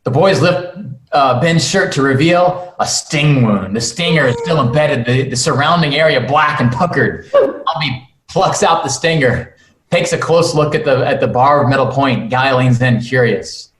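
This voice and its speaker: A man's voice: medium pitch, moderate pace. A man narrating a script at a table read, delivering stage directions in a dramatic style. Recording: echoey room.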